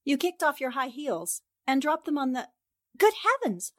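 A woman acting a sharp, confident young newspaperwoman in a 1940s-style radio drama, crisp and assured as she reasons things out. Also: sits high, big pitch swings, normal speed. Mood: assured, excited. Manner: crisp, assured, explaining deductions.

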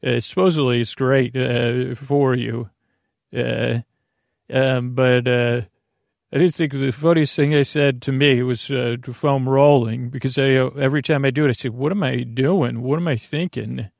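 A man with a gravelly, creaky voice at a middling pitch, recorded close and dry. He rambles as a bedtime podcast host, wandering off topic, halting and starting his sentences over. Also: fairly even intonation, no room sound. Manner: meandering and halting, trails off and restarts sentences.

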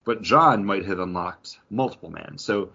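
A man's voice: low-pitched, lively intonation, moderate pace, creaky, bright, forceful tone, breathy edge, muffled recording. A man hosting a podcast, giving thorough, casual explanations with enthusiasm. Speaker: podcast host explaining a game.

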